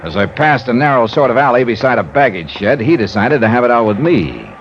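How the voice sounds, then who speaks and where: A man acting a brisk, hard-boiled American private investigator in a 1950s radio drama, punchy and wisecracking. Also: mid-range voice, sing-song, a little hiss or hum. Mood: dry narration. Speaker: lead actor and narrator. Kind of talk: 1950s radio detective acting, first-person narration. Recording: muffled old radio recording, music behind.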